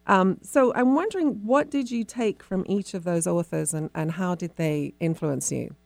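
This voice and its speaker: A woman's voice: fairly low pitch, moderate pace, creaky, a little mellow, dry recording. A woman hosting a radio interview, introducing her guest and putting questions in an informal, conversational way. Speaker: radio show host interviewer.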